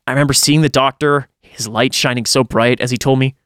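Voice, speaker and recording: A man's voice: medium pitch, steady tone, brisk, fairly bright tone, clear, resonant tone, no room sound, clean studio sound. A man reading a first-person horror story aloud in a dramatic storytelling style, as a podcast host would.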